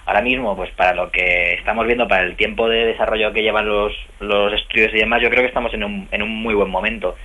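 A man on a podcast panel about video games, talking in quick bursts with restarts over a phone line. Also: on the low side, steady tone. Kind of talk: casual podcast chat.

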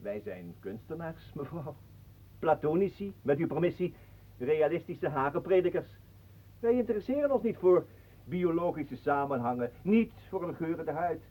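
High-voiced, pompous, unctuous artist. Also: male voice, lively intonation, moderate pace, warm tone. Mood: pompous, sly. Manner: mannered, formal, unctuous. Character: pompous, obsequious, scheming male artist and antique lover. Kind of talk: radio play acting. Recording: muffled, narrow-band old radio recording.

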